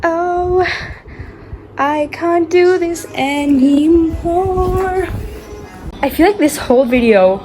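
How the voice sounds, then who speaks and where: A woman's voice: very high-pitched, slow pace, pauses often, darkish tone. Argentine girl in her 20s, spanish accent, rambly tired vlog chat, music going in the background. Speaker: vlogger. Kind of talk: casual chat.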